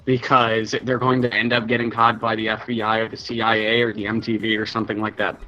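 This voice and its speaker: A man's voice: fairly low pitch, level tone, fast, bright, forceful tone, lo-fi sound. A man chatting casually as a player in a tabletop game, crude and joking. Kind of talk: casual chat. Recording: music behind the voice.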